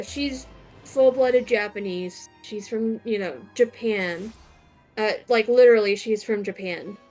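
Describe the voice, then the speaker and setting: A young woman streaming a game, chatting casually with lots of fillers and restarts. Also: fairly high pitch. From United States. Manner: hesitant, many fillers and restarts. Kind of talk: casual chat.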